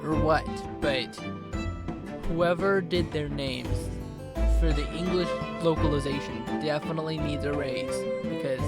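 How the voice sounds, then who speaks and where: A woman's voice: low voice, fairly even intonation, measured pace. A young woman streamer giving chatty, rambling commentary while playing a game. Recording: loud game music behind.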